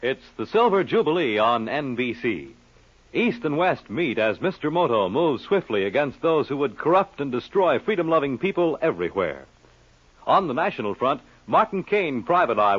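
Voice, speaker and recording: A muffled vintage radio recording of a male announcer. The voice is husky and highish, swinging dramatically through an emphatic promotional read.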